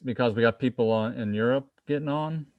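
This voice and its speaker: A man around sixty who co-hosts with quick, teasing interjections. His voice sits at a middle pitch and comes across dry, with no room sound. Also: no room sound, phone-quality sound. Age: 60s. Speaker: co-host. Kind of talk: casual chat.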